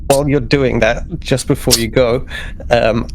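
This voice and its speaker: A man's voice: mid-range voice, steady tone, a little slow. A man chatting casually as a player in a tabletop game, slipping in and out of character. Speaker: tabletop role-playing player. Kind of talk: casual in-game chat and role-play. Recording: faint background noise.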